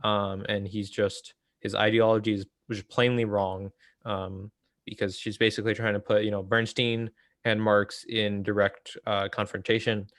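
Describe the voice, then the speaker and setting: A man with a fairly low voice leads a casual book discussion. He explains haltingly, thinking aloud as he goes. The sound is dry, with very little room to it. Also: level tone, a bit bright, dry recording. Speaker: host of a political book read-along.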